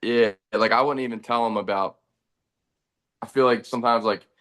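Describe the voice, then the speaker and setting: A man in his thirties, a band's bass player, talking in a relaxed, rambling way during a casual chat. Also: moderate pace. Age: 30s.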